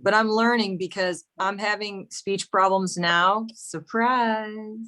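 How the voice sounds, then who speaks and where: A woman's voice: mid-range voice, steady tone, slow, bright, forceful tone. A woman on a panel, telling stories casually over a video call, full of "you know" and wry asides.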